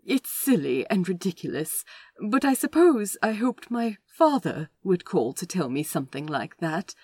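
A woman voicing a gentle, mannerly man who holds back his grief, in an audio drama with polite, old-fashioned phrasing. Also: on the low side, big pitch swings, normal speed, husky, no echo. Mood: choked, grieving.

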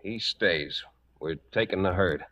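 A man's voice: low-pitched, measured pace, bright, forceful tone. A man acting a firm young cowboy in a 1940s American radio western, terse and commanding. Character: firm young cowboy leading a trail-drive mutiny. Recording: dull 1940s radio broadcast recording.

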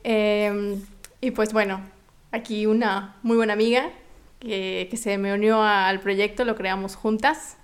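A woman's voice: medium pitch, flat delivery, measured pace. A woman from Mexico with a Mexican accent, explaining things casually as an interview guest. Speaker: interview guest, founder of a volunteer project.

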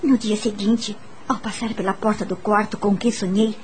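A woman's voice: mid-range voice, steady tone, moderate pace, very noisy, natural voice. A woman playing a curious, uneasy young teacher in a Brazilian radio drama, narrating her own story expressively. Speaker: lead actress and narrator.

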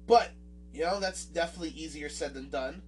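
A man's voice: fairly high pitch, brisk, recorded from a distance. A man with a nasal voice and a swollen, busted lip talks casually as a solo podcast host, rambling and poking fun at himself. He sits back a little from the microphone, and faint guitar music plays underneath. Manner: rambling, self-deprecating, frequent fillers and restarts.

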